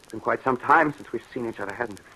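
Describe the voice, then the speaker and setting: An actor playing a tormented, regretful husband in an old radio thriller, anguished and dramatic, with a husky, halting delivery. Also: male voice, mid-range voice, sharp tone, rough recording. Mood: remorseful. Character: haunted, regretful husband tormented by his jealous wife.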